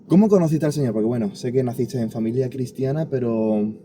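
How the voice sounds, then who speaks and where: A man's voice: medium pitch, brisk, noisy background. A man with a Spanish accent from Spain, hosting an interview as a casual, friendly, informal chat. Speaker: interviewer, host.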